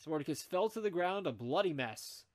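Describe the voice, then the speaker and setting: A man narrating fan fiction in an amateur comedic group reading, animated and dropping wry asides. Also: a little high, normal speed.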